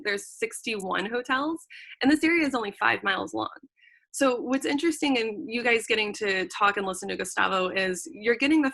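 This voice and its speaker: Bright upbeat woman hosting a travel show. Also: medium pitch, creaky. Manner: upbeat, eager. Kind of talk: interview hosting.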